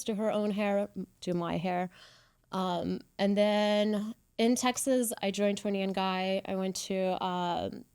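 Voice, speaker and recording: A woman hairdresser shares her background, her voice notably smooth and resonant. She sits at an ordinary pitch and talks in a loose, giggly way, dropping "like" into her sentences often. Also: a little mellow. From Ukraine. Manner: giggly, easygoing, uses "like" a lot. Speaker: hairdresser guest. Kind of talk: casual interview storytelling.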